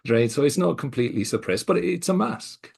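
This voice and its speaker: A middle-aged man with a mixed Scottish and American accent, co-hosting a casual podcast conversation and speaking reflectively, with halting restarts and soft laughs. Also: a little high, expressive, gravelly, breathy edge. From Scotland. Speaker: co-host.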